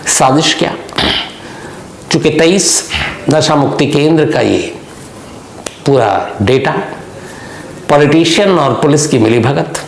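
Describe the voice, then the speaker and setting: A man with a gravelly voice telling a true-crime story as a monologue, deliberately, posing rhetorical questions to the listener. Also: medium pitch. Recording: echoey room with faint background noise.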